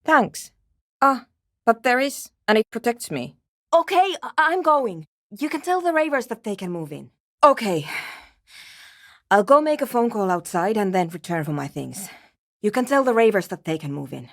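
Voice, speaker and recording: A woman's voice: fairly high pitch, big pitch swings, normal speed, dry recording, clean studio sound. An actress playing a weary, obsessive and prickly woman programmer in a video game, with a terse, precise way of talking. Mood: guarded, matter-of-fact. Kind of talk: dramatic game dialogue.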